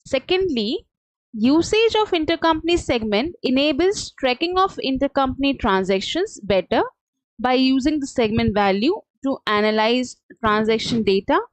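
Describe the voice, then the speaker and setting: Higher-pitched woman giving software training. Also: dry recording. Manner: halting, explanatory, step by step. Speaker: software trainer presenting a webinar. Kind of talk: live instructional screen-share walkthrough.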